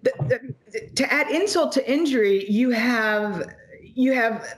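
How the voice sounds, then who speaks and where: Woman in her 40s, economist guest, emphatic, fairly high voice, webcam sound. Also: slight background noise, low-quality recording. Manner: emphatic, quick, gesturing while talking. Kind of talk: animated interview commentary. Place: home office in front of a logo backdrop. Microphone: video call webcam.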